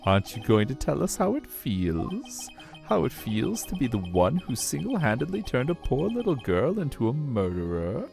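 A smug, theatrical older police chief, a courtroom game villain. He mocks in drawn-out words, swooping far up in pitch over background music. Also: wildly swooping pitch, gravelly, a little mellow. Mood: mocking, smug.

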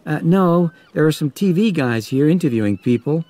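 Man playing an earnest, higher-pitched policeman. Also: expressive, normal speed, dark tone, dry recording, close to an everyday voice. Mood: earnest, brisk. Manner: earnest, procedural. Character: dutiful, by-the-book young policeman on walkie-talkie.

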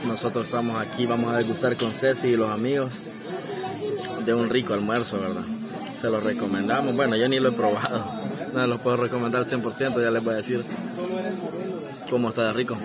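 Salvadoran man vlogging over loud music. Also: medium pitch, level tone, brisk. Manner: informal, addressing viewers and companions. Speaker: vlog host. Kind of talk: casual travel vlog chat. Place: roadside stop and restaurant, on location. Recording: loud music, busy crowd and vehicle noise.

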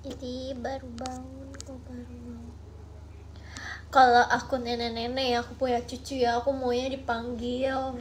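Woman, 20s, high voice, playful livestream chatter into a phone, faint background hiss. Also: flat delivery, moderate pace. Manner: playful, musing, drifts into soft murmurs. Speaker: idol livestreamer. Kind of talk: casual late-night livestream chat. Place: small bedroom at night. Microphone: phone at arm's length.

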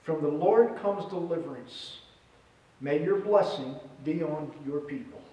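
Middle-aged man as preacher: high-set voice, short measured phrases, roomy church sound. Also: warm tone. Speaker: pastor. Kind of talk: sermon with scripture reading. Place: indoors at a church pulpit. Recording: roomy echo, distant microphone.

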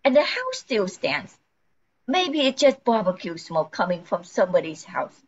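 A woman's voice: mid-range voice, sing-song, normal speed, breathy edge, lo-fi sound. An older woman playing a frantic, forgetful housewife who pleads anxiously, in an amateur radio play. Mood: panicked.

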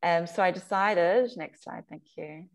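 Low-voiced New woman presenting. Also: measured pace. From Samoa. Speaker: surgeon, guest speaker. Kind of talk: informal slide presentation. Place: video call, remote webinar.

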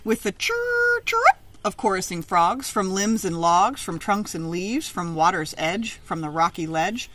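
A middle-aged woman reads a children's picture book aloud outdoors, with expressive, emphatic, sing-song phrasing. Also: mid-range voice, measured pace. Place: outdoors in a leafy garden.

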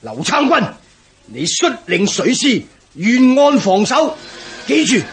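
An actor playing a proud supreme army commander, declaiming pompously in a historical radio drama. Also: male voice, very high-pitched, very animated, takes it slowly, a bit bright, soft background music, full character voice. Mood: stern.

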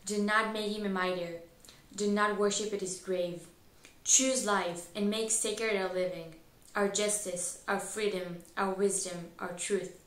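A teenage female high school student reciting a written text in an echoey room with plain walls. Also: steady tone, a little slow, a bit bright. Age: teens. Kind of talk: poetry recitation. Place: indoors against a plain wall.

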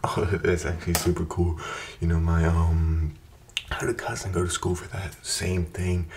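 A young man in his twenties doing an ASMR barber roleplay, talking softly and slipping into whispers, with calm, repetitive, soothing chatter into a close microphone. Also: low-pitched, moderate pace, slight background noise. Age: 20s. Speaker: ASMR creator playing a barber. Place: bright indoor room with plants. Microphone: close desk microphone in front of him.

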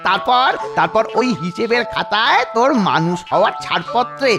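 A woman's voice: on the high side, lively intonation, normal speed. A woman voicing a sly, greedy male celestial bookkeeper in a cartoon, scheming and repeating words. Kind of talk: children's cartoon voice acting. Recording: music behind the voice.

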